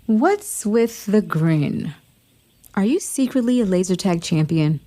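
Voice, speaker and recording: Woman as an animated, competitive teen heroine. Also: medium pitch, slow pace. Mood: skeptical. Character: competitive, skeptical teenage girl superhero. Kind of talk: scripted audio drama acting.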